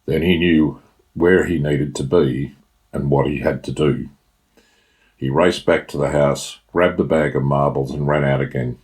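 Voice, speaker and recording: Very deep-voiced Australian male storyteller. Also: steady tone, a little slow, darkish tone. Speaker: author reading own short story. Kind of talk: scripted story narration.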